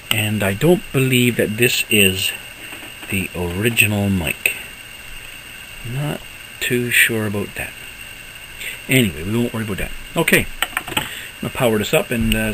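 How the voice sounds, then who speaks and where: A man giving a casual, unscripted walkthrough of equipment as a hobbyist presenter, halting and thinking aloud as he goes. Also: on the low side, noisy background.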